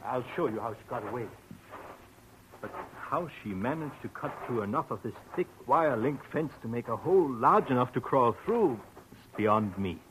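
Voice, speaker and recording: An actor playing an earnest, anxious nursing-home doctor, formal and precise, in a 1940s radio drama with old-recording noise. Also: male voice, medium pitch, lively intonation, normal speed, natural voice. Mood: urgent explaining. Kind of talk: 1940s radio detective acting.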